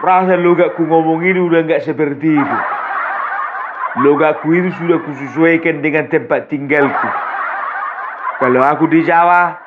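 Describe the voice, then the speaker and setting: A man delivers a scornful political monologue, often breaking into laughter. His voice sits high. The recording sounds muffled, with a steady hiss of background noise. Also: normal speed, pauses often. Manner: mocking, laughs often while talking. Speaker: political commentary host.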